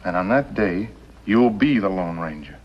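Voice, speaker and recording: A middle-aged man playing a scheming, bitter outlaw boss in a 1950s TV western, speaking with sardonic, deliberate intensity. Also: low voice, animated. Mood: calculating. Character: scheming, bitter outlaw boss posing as mild telegraph operator. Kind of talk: 1950s television western acting. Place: small wooden cabin set, indoors. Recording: muffled, noisy old 1950s TV soundtrack.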